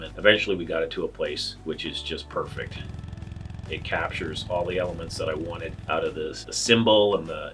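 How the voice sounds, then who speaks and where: A man in his fifties from Canada, talking reflectively in a documentary interview, often pausing and restarting his phrases. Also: sits low, fairly bright tone. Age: 50s.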